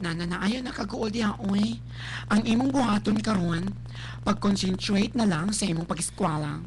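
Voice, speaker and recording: A woman playing a fussy, caring, excitable nanny in a melodramatic radio drama, exclamatory and scolding, with a Cebuano Filipino accent. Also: medium pitch, normal speed, natural voice. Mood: advising, chiding. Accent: Filipino, Cebuano-speaking. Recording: faintly noisy live radio capture.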